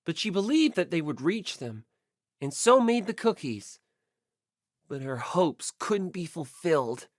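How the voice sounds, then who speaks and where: A woman reading first-person story narration for an audiobook in an expressive style. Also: lowish voice, unhurried, dry recording, studio quality.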